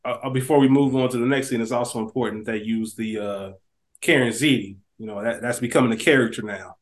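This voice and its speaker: A man's voice: medium pitch, fairly even intonation, fast. A man co-hosting a TV review podcast, making thoughtful points in casual chat. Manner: thoughtful, acts out quoted lines in a raised voice. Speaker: podcast co-host.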